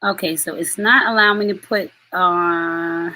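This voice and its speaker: A middle-aged woman hosting a live online stream, chatty and affectionate, with quick asides. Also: fairly low pitch, fairly even intonation, pushed and bright, low-quality recording. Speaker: host and soul mentor.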